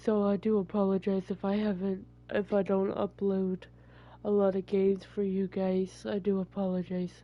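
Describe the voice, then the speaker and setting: A woman with a stuffy cold talks in a rambling, apologetic way. Her pitch stays flat and even. The recording sounds dull and lo-fi. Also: moderate pace. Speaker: gaming YouTuber. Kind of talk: casual let's-play intro.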